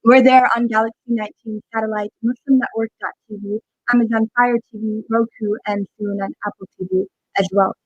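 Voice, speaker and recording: A woman hosting a talk show, introducing her guest in an earnest, measured way with some fillers. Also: a little high, no room sound, muffled recording. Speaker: host.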